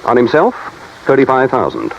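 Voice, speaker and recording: A businesslike American insurance official in 1950s radio style. He explains things earnestly, with lively swings in pitch. The vintage recording is dull, with a faint hiss. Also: normal speed, vocal fry. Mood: explaining, earnest. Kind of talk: 1950s radio drama acting.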